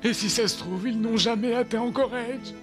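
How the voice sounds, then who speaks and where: A man voicing a gloomy but caring village doctor in a dubbed animated film, grave and emphatic. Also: very high voice, normal speed. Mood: resigned. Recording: music behind the voice.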